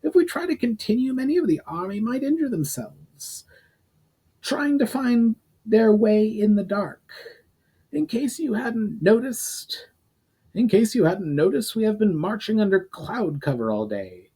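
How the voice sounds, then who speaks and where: Defiant fantasy officer, played by a male reader: raised pitch, forceful, argumentative, husky. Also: lively intonation, unhurried, exaggerated voice. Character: firm, argumentative military officer, respectful but defiant. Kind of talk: dramatic fiction reading.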